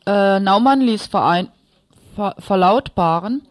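A woman's voice: medium pitch, slow, no room sound. A woman presenting radio news, reading from a script.

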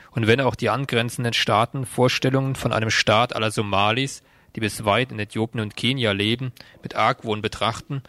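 A man's voice: medium pitch, a bit bright, no echo. A man working as a radio reporter, reading out a scripted background report.

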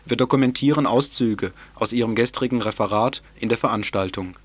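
A man presenting the news on a local radio station, reading a script in a measured, formal way. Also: medium pitch, no room sound. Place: radio studio.

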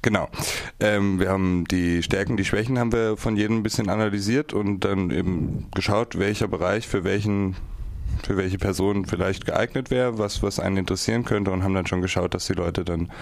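Nervous deep gravelly man. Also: fairly even intonation, fairly quick, no echo. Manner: hesitant, restarting. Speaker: guest, project participant.

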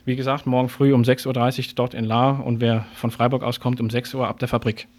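A man's voice: mid-range voice, flat delivery, fairly quick. A male radio host speaks in an informal, improvised manner. He sounds like he is presenting live, moving between interview questions and practical announcements.

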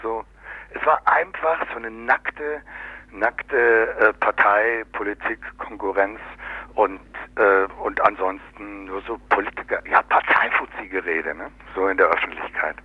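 A man's voice: fairly low pitch, a little slow, breathy edge, no echo. A man discusses politics critically and off the cuff, sardonic and colloquial, often stopping to search for words and tagging on little question words. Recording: dull lo-fi local radio recording.

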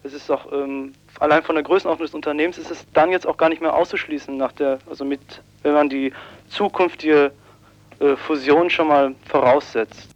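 A man giving spontaneous analysis in an interview as an activist, halting as he searches for the right wording. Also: fairly high pitch, steady tone, no echo. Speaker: peace office activist, interviewee. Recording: dull, dry lo-fi radio tape.

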